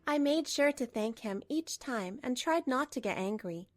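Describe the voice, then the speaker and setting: A woman's voice: sits high, expressive, normal speed. A woman reading a scripted first-person drama narration for a manga story dub. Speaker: narrator and lead voice actor.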